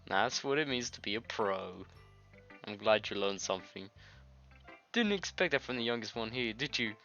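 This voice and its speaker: Bright-voiced man reading a game aloud. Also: on the low side, animated, normal speed, highs cut off. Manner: loose, stumbling read-aloud with misread words. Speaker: let's-play host. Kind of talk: reading visual novel game dialogue aloud. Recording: music behind the voice. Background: game music.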